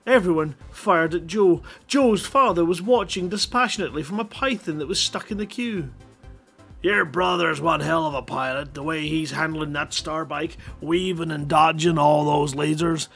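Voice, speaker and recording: A man's voice: on the high side, moderate pace, gravelly, a bit bright, soft background music. A playful man hosting a live stream, reading short stories aloud and bantering casually. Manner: playful, jokey. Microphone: headset microphone.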